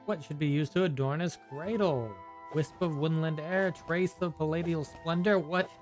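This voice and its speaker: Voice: medium pitch, fairly even intonation, normal speed, faint music behind. A streamer giving casual gameplay commentary and reading bits of the game text aloud.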